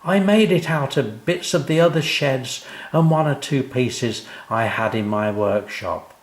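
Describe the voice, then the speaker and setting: A man's voice: mid-range voice, a little slow, echoey room, pitched above the performer's own voice, close to an everyday voice. A man voicing a kind, cheery odd-job man with folksy, repetitive phrasing in a children's story. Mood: proud. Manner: folksy, repeats his phrases.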